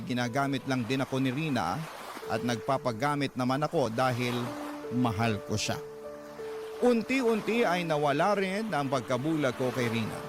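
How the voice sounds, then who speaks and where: A man playing a weary, long-suffering father, narrating his story earnestly in measured, scripted radio drama style. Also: medium pitch, natural voice. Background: background music.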